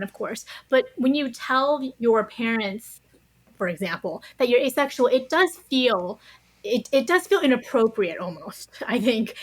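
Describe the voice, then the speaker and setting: A woman speaking as a podcast guest, explaining her views conversationally in a thoughtful, carefully qualified way. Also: a little high. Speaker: interview guest, author.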